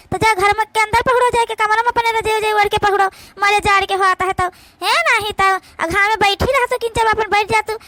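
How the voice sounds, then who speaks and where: A woman's voice: extremely high pitch, bright, forceful tone. A woman voicing brash, indignant village daughters-in-law in an Awadhi cartoon comedy, scolding and arguing in a rustic manner.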